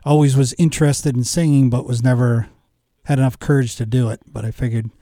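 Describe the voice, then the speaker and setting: Male guest, middle-aged or older, modest casual conversational delivery, mid-pitched, close dry studio recording. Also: fairly even intonation, a little fast, dark tone, no room sound. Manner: modest, understated, short answers. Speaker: interview guest, amateur performer. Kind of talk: casual interview chat. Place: radio studio.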